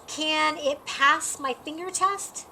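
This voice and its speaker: A woman voicing a kindly, playful woman librarian with a drawn-out, teasing and fond way of speaking, in a storybook reading. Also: high-pitched, bright, forceful tone, heavily acted. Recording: clearly noisy background.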